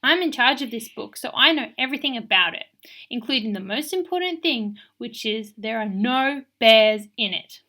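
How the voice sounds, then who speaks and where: A woman voicing a bossy, excitable little girl who tells her own picture book in an emphatic, theatrical way. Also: a little high, normal speed. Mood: proud, bossy. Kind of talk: expressive children's picture book read-aloud.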